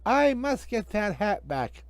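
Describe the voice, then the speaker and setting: A scheming storybook villain put on by a man, pitched high and drawn out. He mutters slowly and petulantly, nasty to the core. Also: sing-song, dry recording, exaggerated voice. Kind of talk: amateur children's storybook reading.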